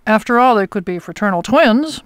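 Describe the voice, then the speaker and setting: An actress playing a pedantic, prim, know-it-all police detective in a comic radio mystery, with formal, precise diction. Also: female voice, medium pitch, animated, fairly quick, clear, resonant tone, dry recording, studio quality, close to an everyday voice. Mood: pedantic, lecturing.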